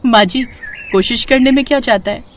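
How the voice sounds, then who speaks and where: A woman's voice: fairly high pitch, normal speed, slight background noise, pitched above the performer's own voice, lightly acted. A woman voicing a young city-bred daughter-in-law in a cartoon, earnest and outspoken, speaking politely and eagerly. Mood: hopeful, polite. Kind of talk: Hindi moral-story cartoon acting.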